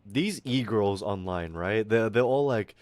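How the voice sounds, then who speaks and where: A young Australian man rants on his stream with a lively, swinging delivery in a fairly low voice. A hint of American creeps into his accent as he swears and mocks people in put-on voices. His close mic sounds dry, with no room around it. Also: a little slow, dry recording. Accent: Australian with some American. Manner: animated, swearing, mocking voices. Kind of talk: casual ranting stream chat.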